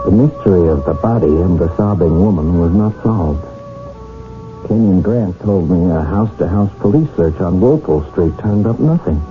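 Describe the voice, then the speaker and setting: An actor playing a reflective man who narrates his own past in a 1970s radio drama, in measured storytelling. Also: on the low side, natural voice. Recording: muffled old radio broadcast.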